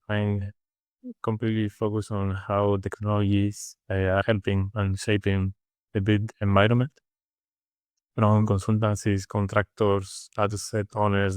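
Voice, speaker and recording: A man from Spain with a Spanish accent, answering interview questions in a halting way with many fillers and restarts. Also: fairly low pitch, smooth, no echo. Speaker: interview guest. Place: studio. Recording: dry studio sound.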